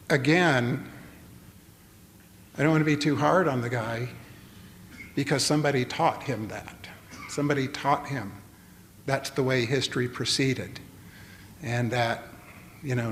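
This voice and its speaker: Older man lecturing in a reverberant hall. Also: mid-range voice. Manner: halting, many fillers and restarts. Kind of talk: public lecture. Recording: long hall reverb, faint noise.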